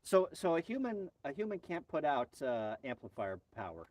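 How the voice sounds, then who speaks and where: A man's voice: fairly high pitch, sing-song, normal speed, darkish tone. A man hosting a casual live chat, bantering playfully and often repeating words as he goes. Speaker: livestream host. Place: outdoors in a quiet spot with birds. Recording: slightly distant from the mic.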